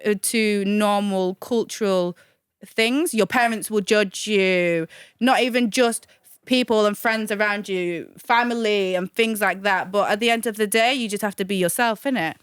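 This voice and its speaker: A woman's voice: medium pitch, moderate pace, clean tone, no room sound. A young woman, talking casually and animatedly as a guest in an interview, with many fillers. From Manchester, England. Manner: animated, chatty, hesitant with fillers.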